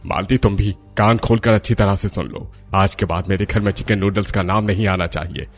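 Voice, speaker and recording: Man playing strict old cartoon dad, really deep husky voice, scolding and threatening everyone. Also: fairly even intonation, a little fast, soft background music. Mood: angry scolding. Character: angry, strict elderly father of the household. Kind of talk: Hindi cartoon story voice acting.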